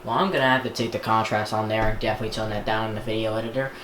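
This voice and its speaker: Casual vlogger rambling on, mid pitched voice, echoey room w noise behind. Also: steady tone, racing, fairly bright tone. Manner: rambling, repeats words and restarts sentences. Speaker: video creator showing a radio collection. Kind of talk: casual unscripted vlog. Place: indoor room.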